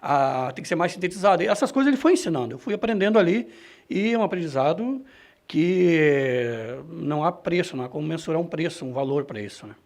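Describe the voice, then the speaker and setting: A man answering interview questions about his own experience, in a halting, self-correcting way. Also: fairly high pitch, lively intonation. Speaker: radio reporter and presenter being interviewed. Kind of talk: reflective interview answer, personal anecdotes.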